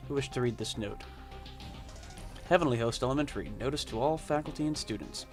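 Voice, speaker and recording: A young man narrates his gameplay in a relaxed, unscripted way, sitting at a mid pitch. He reads on-screen text aloud and then drifts into rambling asides full of fillers. Faint game music plays underneath his voice. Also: brisk. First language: not Japanese. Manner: rambling, hesitant, many fillers. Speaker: let's play gamer.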